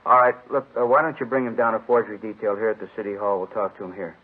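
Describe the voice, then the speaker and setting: An actor playing a steady male police inspector in an old radio crime drama, understated and matter-of-fact. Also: lowish voice, fast, lightly acted. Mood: casual, businesslike. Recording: muffled, phone-like vintage radio recording.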